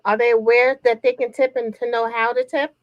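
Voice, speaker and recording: A woman co-hosting a live online chat show who keeps up a steady stream of affirming replies. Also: a little high, a little fast, dull audio. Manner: supportive, frequent affirmations. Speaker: co-host.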